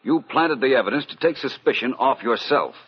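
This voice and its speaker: An actor playing a suave, self-assured gentleman detective in an old-time radio mystery, urbane, precise and knowing. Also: medium pitch, lively intonation, fairly quick, slightly rough. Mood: firm, confident. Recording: dull, lo-fi vintage radio recording.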